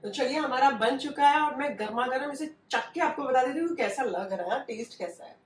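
A woman's voice: high-pitched, expressive, a little fast, recorded from a distance. A woman, hosting a home cooking tutorial and explaining each step in a homely way, recorded in her kitchen. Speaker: cooking channel host.

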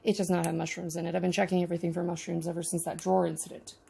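A woman's voice: lowish voice, level tone, rapid-fire, gravelly, dark tone, slightly rough. A woman casually narrating a miniature unboxing with chatty, wry asides. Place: indoors at a tabletop.

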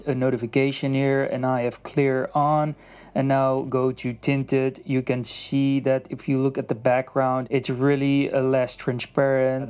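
Man, 30s, casual tech host, relaxed explaining, lapel mic, home desk. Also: medium pitch. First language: Dutch. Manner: relaxed, explanatory, frequent "uh" fillers. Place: home studio desk, indoors.

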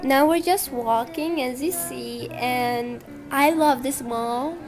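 Young female vlogger, chatty and upbeat, slightly high voice over background music. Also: takes it slowly, creaky. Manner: chatty and enthusiastic, frequent fillers. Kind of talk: casual travel vlog narration. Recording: music playing underneath.

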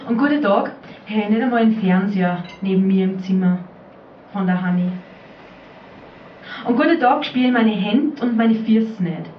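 A woman's voice: mid-range voice, moderate pace, long pauses. A woman with an Austrian accent performing a spoken-word dialect piece at a poetry slam, speaking as an elderly rural mother. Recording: roomy, distant, muffled live recording, faint noise.

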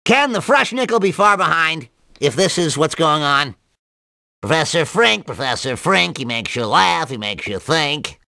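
A man voicing a nerdy, excitable, absent-minded cartoon mad scientist in a video game, nasal and full of rambling jargon and odd exclamations. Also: on the high side, a little slow, creaky, no room sound, clean studio sound, exaggerated voice. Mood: musing, chatty.

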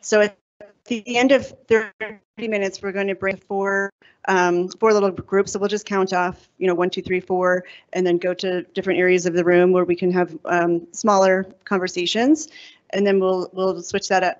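A woman moderating a panel in a conference room, laying out the session plan step by step. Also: lowish voice. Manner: organized, explains the plan step by step. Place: hotel conference room with an audience. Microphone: handheld microphone. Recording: dull lo-fi sound.

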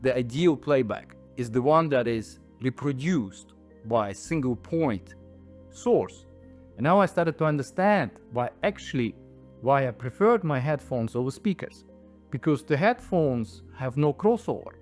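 A man in his thirties or forties, an audio designer, explaining products to camera on a lapel mic in a halting, emphatic way. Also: mid-range voice, lively intonation, darkish tone. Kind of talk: explaining products to camera, semi-scripted talk. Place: indoor audio showroom. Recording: music playing behind the voice. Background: music.

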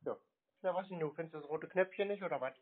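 A man's voice: a little high, fast talker. Male prankster on the phone, dry and needling, thin telephone sound. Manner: sardonic, challenging, evasive. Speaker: prankster answering scam calls. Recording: narrow tinny phone line.

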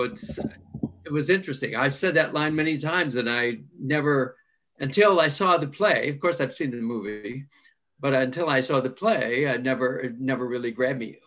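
An older man reflecting in a halting, deliberate way during a video-call panel chat. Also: medium pitch, fairly bright tone, a little hiss or hum. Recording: slightly dull video-call audio.

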